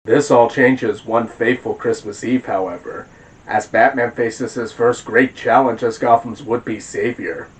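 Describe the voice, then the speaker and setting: A man recovering from a cold, hosting a video essay and narrating a scripted game review in a measured, deliberate way. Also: mid-range voice, fairly even intonation, rough recording.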